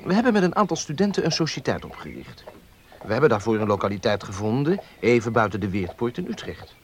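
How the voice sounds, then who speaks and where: An actor playing a charming, spoiled young student, smooth-talking and teasing in a radio drama. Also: male voice, mid-range voice, very animated, fast, slight background noise. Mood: sheepish, wheedling. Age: young adult.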